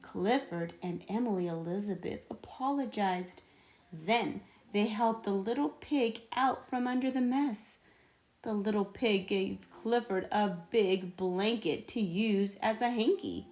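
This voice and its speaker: A woman reading a children's story aloud in an expressive narration style with dramatic build-ups. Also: mid-range voice, unhurried. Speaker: storybook reader.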